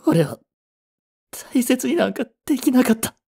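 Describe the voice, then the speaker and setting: A man playing a blunt, devoted young boyfriend in a romantic voice drama, with a breathy voice and heavy emotion. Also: very high voice, very animated, normal speed, darkish tone, exaggerated voice. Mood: tearful regret. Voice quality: breathy, slightly husky. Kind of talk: romantic Japanese voice drama acting.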